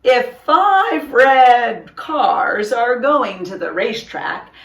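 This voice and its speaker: A woman's voice: a little high, sing-song, very slow, a bit bright, pitched above the performer's own voice, heavily acted. A woman voicing a cheerful, helpful young boy cat pupil in a storybook, upbeat and encouraging. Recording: roomy echo, distant microphone.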